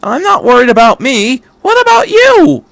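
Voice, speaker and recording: A man's voice: extremely high pitch, sing-song, normal speed, gravelly, a bit bright, no echo, pitched above the performer's own voice, exaggerated voice, poor audio. A man voicing an anxious teenage boy pilot in a story, hesitant and incredulous as he questions. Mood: shocked, protesting.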